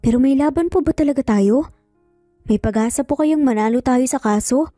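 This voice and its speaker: A woman voicing a worried female client who speaks calmly, hesitantly and with deference in a dramatic story. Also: high voice, moderate pace, no room sound, clean studio sound, faint music behind, close to an everyday voice. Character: worried woman client, polite, calm and doubtful.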